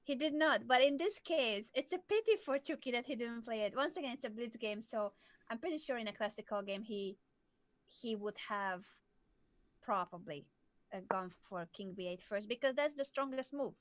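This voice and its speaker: A woman's voice: fairly high pitch, expressive, moderate pace, no room sound. A woman from southern Hungary, patiently explaining chess moves as a coach on a live stream. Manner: clear, patient explaining, laughs easily.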